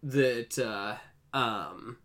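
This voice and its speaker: A man's voice: medium pitch, extremely slow, a bit bright. A man co-hosting a podcast in casual chat, hedging as he goes with plenty of "like" and "I mean". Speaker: podcast co-host.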